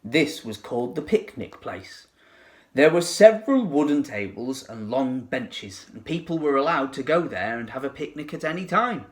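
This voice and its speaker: A man narrating a children's storybook expressively, with dramatic, deliberate phrasing. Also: medium pitch, big pitch swings. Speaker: teacher reading to pupils.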